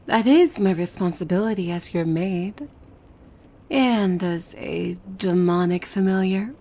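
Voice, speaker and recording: A woman playing a possessive, devoted demon maid in an ASMR roleplay, coy and formal, with a soft breathy voice that often slips into whispers. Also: on the low side, measured pace. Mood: devoted, insistent. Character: possessive, devoted young female demon maid, sweetly menacing. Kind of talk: ASMR roleplay, scripted monologue to the listener.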